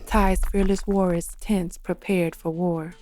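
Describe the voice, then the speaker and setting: A woman, the author, narrating a dark fantasy story in a steady, expressive reading style. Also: fairly low pitch, unhurried, slight background noise.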